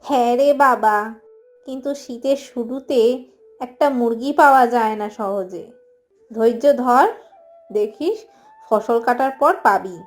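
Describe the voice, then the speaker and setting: A woman voicing female village characters, a mother and a young girl, in an animated fairy tale. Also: fairly high pitch, slow pace, pauses often, faint music behind. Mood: patient, soothing. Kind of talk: animated moral story dialogue.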